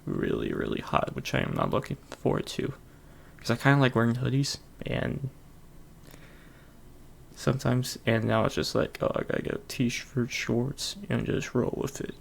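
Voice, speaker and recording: A man's voice: medium pitch, big pitch swings, moderate pace, creaky, slight background noise. A man hosting his own podcast alone, rambling casually with lots of fillers and frequent chuckles. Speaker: podcast host. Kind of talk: casual solo podcast chat.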